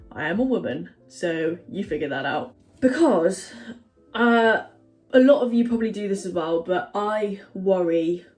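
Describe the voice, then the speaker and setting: A woman's voice: mid-range voice, darkish tone. Chatty young woman vlogging. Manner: chatty, rambling, lots of fillers. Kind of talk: casual chat to camera. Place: home kitchen.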